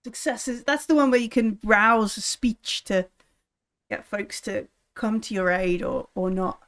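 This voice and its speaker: A woman's voice: mid-range voice, fairly even intonation, a little slow, a bit bright. A woman chatting casually as game master of a tabletop roleplaying game.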